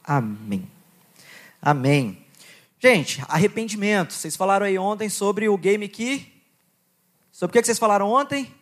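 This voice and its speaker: A young man with a Goiás accent preaching to a youth conference, joking with the crowd, reading scripture and praying in a quieter, lower voice. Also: lively intonation, measured pace, pauses often. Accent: Goiás Brazilian Portuguese. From Goiás, Brazil. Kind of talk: sermon with Bible reading and prayer.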